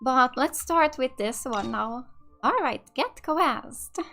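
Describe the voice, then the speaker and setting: A woman's voice: high voice, lively intonation, slow pace, bright, forceful tone. A woman from Finland with a Finnish accent giving casual, slightly hesitant let's play commentary as a gaming YouTuber. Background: game music.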